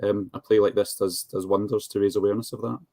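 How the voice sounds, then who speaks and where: A man with a fairly low voice speaks on a panel in a measured, articulate way. He pauses to find his words, and the sound is fairly dry. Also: little pitch movement, warm tone, dry recording. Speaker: charity representative on a panel. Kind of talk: panel discussion.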